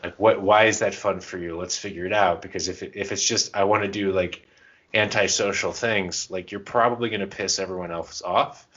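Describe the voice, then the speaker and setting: A man's voice: low voice, fairly even intonation, a little fast, a bit bright, highs cut off. A man co-hosting a podcast in casual chat, joking and self-deprecating. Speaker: podcast co-host.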